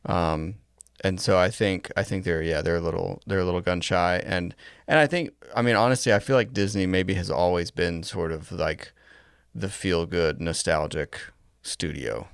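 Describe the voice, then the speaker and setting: A man's voice: low-pitched, normal speed, gravelly, clean tone, dry recording, studio quality. A man co-hosting a podcast, giving casual commentary in a tentative, reflective, hesitant way. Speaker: podcast co-host.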